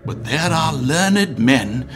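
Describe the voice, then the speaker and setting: Zealous Templar commander, expansive lecturer, animated higher voice, historical audio drama, strong music behind. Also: male voice, slow pace. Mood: grave, lecturing. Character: pompous, zealous Templar marshal, battle-hungry commander.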